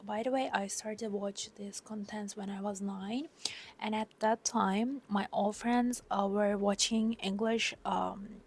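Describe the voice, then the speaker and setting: Soft-spoken young Turkish woman vlogging. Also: medium pitch. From Turkey. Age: young adult. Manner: soft, often breathy and half-whispered, with many hesitant fillers. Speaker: exchange student. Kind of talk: personal vlog monologue.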